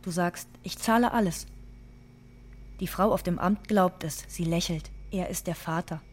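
Husky low-voiced woman, guarded immigrant mother. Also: measured pace. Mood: plain, insistent. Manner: short, plain, repetitive sentences. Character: undocumented immigrant mother Anna, quietly guarded, hurt. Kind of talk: literary monologue in a radio play.

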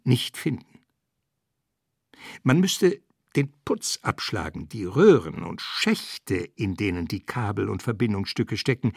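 A man narrating literary prose in a deliberate, emphatic way, breaking sentences into short phrases. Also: on the low side, gravelly, breathy edge, no echo, studio quality.

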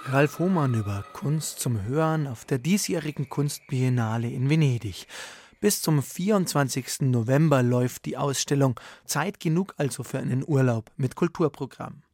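A man hosting a radio arts magazine, presenting from the studio with smooth, scripted links between segments. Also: mid-range voice, expressive, normal speed, darkish tone, no echo. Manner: smooth, linking announcements.

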